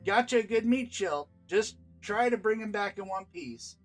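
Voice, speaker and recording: A man's voice: sits high, moderate pace, soft background music, pitched above the performer's own voice. A man voicing a jovial, tough dwarf woman with a cheerfully threatening, folksy way of talking. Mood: jovial, teasing.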